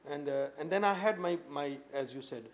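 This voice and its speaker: A man in his late thirties from India, with an Indian accent, answering interview questions earnestly with many halting fillers and restarts. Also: on the high side. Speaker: poet guest. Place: indoor room with tapestries and a sofa.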